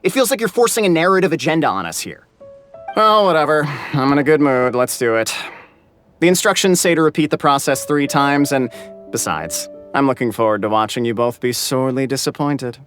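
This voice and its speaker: A man's voice: medium pitch, lively intonation, brisk, fairly bright tone, faint music behind, natural voice. A man voicing a smug, theatrical, sarcastic clansman in a video game, with a grandiose, mocking delivery. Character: smug, theatrical, sarcastic male clan member. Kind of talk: video game character acting.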